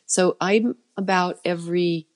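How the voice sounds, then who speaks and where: A middle-aged woman with a soft voice, a children's musician chatting casually in an interview and often trailing off and restarting. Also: lowish voice, steady tone, measured pace.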